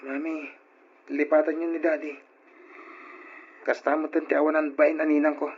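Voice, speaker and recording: A man's voice: on the high side, level tone, moderate pace, slight background noise, close to an everyday voice. An actor playing a plaintive young son pleading with his mother in a radio drama. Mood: worried. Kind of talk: old Ilocano radio drama acting. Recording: dull, muffled old radio drama recording.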